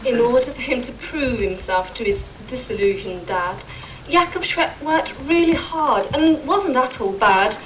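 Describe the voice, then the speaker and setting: Female pantomime narrator, highish voice, measured rhyming storytelling, distant and noisy amateur stage recording. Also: animated.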